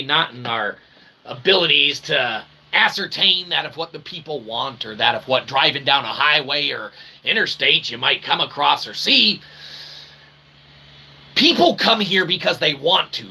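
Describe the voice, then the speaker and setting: Over clearly noisy livestream audio, a man delivers a rambling comic monologue. His voice sits a little high for a man and has a gravelly, creaky edge. He talks with mock pomp, piling up inflated invented words and stock tags. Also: sharp tone. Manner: repeats stock catchphrases and tags like "okay?". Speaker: streamer and channel host.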